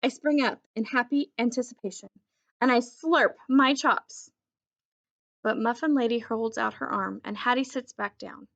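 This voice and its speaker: A woman reading a children's story aloud in an expressive, deliberate storytelling manner. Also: a little high, vocal fry. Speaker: children's book reader.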